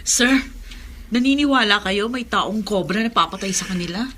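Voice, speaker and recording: Actress as bright, earnest policewoman. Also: medium pitch, moderate pace, close to an everyday voice. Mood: questioning. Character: questioning, earnest female police officer. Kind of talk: horror radio drama acting.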